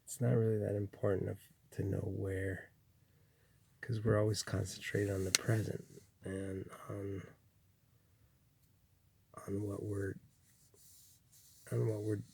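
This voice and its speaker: A man from Chile giving a slow, rambling spiritual monologue, full of restarts and pauses. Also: flat delivery, slight background noise. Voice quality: gravelly, husky low voice. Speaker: spiritual speaker.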